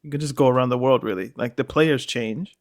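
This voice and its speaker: A man offers a careful counterpoint in a relaxed podcast conversation. His voice sits at an average pitch, and he speaks in a measured, hedging way, pausing on fillers and correcting himself as he goes. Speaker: podcast co-host. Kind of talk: casual football discussion.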